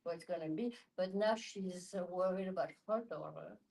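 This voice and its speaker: A woman's voice: on the low side, normal speed, darkish tone. A woman with a French accent speaking frankly and matter-of-factly in a group discussion. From France.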